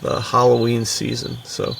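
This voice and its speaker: A man's voice: mid-range voice, completely flat delivery, normal speed, creaky, a bit bright, far from the mic, a little hiss or hum. An American man co-hosting a podcast in casual, informal chat, using plenty of laid-back slang. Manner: informal, bro-ish, frequent "dude" and "like". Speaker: podcast co-host and adventure fiction author.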